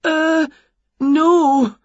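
A woman's voice: fairly high pitch, lively intonation, slow, a bit bright, dry recording, muffled recording, pitched above the performer's own voice, full character voice. A woman voicing a fussy, weary, worried male choir conductor in a children's storybook, flustered and hesitant. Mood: weary, faint.

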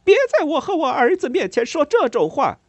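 A man voicing a distraught mother who shrieks in a sharp, trembling voice. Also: sing-song, moderate pace, dry recording, heavily acted. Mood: hysterical fury. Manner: shouting, shrill, frantic.

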